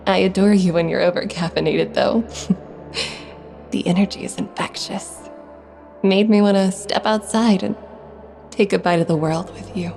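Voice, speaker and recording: A woman's voice: medium pitch, steady tone, normal speed, vocal fry, pauses often, soft background music, lightly acted. A woman playing a tender, teasing wife in a dream, in an intimate audio drama. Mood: playful. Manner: soft, affectionate, playful.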